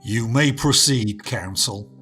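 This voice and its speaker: Slow, stern judge, played by a man, music behind. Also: fairly low pitch. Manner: formal, weighty, scolding. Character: stern male judge, indignant and commanding. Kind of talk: radio play acting.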